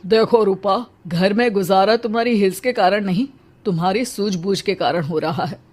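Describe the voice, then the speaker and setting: A woman voicing a middle-aged husband in a cartoon story, calm, supportive and reasoning. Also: mid-range voice, moderate pace, soft background music, natural voice. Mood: earnest, loving. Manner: reassuring, reasoning.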